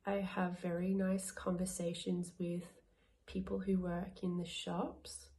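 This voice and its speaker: A woman working as an English language assistant, answering interview questions slowly and carefully. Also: on the low side, flat delivery, warm tone. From Australia.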